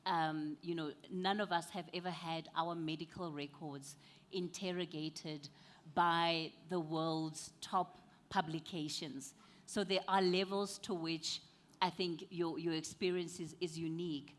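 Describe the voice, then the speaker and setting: A woman moderating a panel discussion, asking questions in a measured, thoughtful way. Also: deep voice, fairly even intonation.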